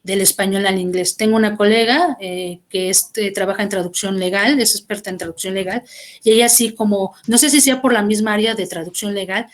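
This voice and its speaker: A woman's voice: medium pitch, fairly quick. A Mexican woman, a guest translator, talking through her experience with thoughtful explanations and small restarts over a video call. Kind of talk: conversational class interview.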